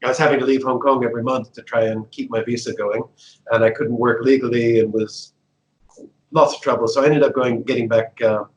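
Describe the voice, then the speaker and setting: An American man in his fifties talks through his life story in a relaxed interview, voice pitched in the middle range. He hesitates, restarts and laughs often as he remembers. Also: brisk, dull audio. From United States. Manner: chatty, laughs often, many fillers and restarts. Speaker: guest.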